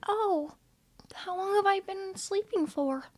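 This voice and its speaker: A woman voicing a timid, sensitive young male cat who talks softly, in a wavering, breathy way. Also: very high-pitched, takes it slowly, no room sound, clean studio sound, pitched above the performer's own voice. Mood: meek bewildered.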